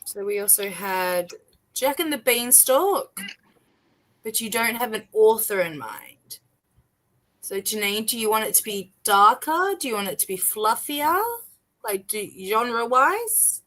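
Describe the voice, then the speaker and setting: A woman's voice: a little high, expressive, measured pace, sharp tone. A woman hosting a writing stream, chatting casually and leading the group into writing sprints.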